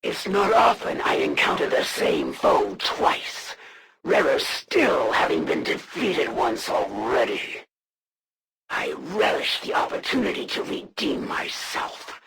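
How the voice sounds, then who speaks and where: A woman playing a proud, menacing alien assassin in a video game, speaking in a formal, solemn way with a gravelly rasp. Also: rumbling low, huge pitch swings, a bit bright, exaggerated voice. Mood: solemn defiance. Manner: formal, solemn, haughty, deliberate delivery. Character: proud female alien assassin, honor-bound, menacing, serving the Elders. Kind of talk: theatrical video game villain lines.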